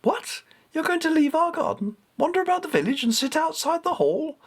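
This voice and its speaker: Storybook schoolboy, played by a grown man: worried, protesting, urgent, pitched well up. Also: expressive, brisk. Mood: alarmed. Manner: urgent, incredulous. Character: anxious young boy, alarmed and protesting.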